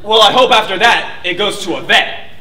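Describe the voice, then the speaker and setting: A man's voice: high-pitched, normal speed, bright, forceful tone, a faint crowd. A man playing a suave, sardonic airline pilot with a mock-formal, drily sarcastic manner in a stage comedy. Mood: dry teasing. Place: theatre stage recorded from the audience. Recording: distant, noisy recording of echoey stage performance.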